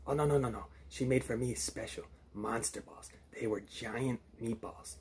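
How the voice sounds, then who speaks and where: An excitable little furry monster puppet, voiced by a man, chatting on a comic puppet show. He is friendly, childlike and silly, repeating his exclamations eagerly. There is faint noise on the home recording. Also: mid-range voice, normal speed, lightly acted. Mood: playful, insistent.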